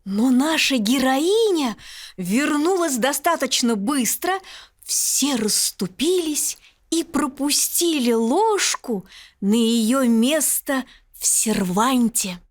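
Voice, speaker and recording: A woman storyteller narrating a children's bedtime tale in an expressive, articulate way. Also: sits high, takes it slowly.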